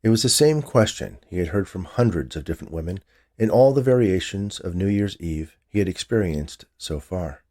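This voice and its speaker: A man's voice: low-pitched, expressive, moderate pace, darkish tone. A man narrating his own short story as a writer reading aloud.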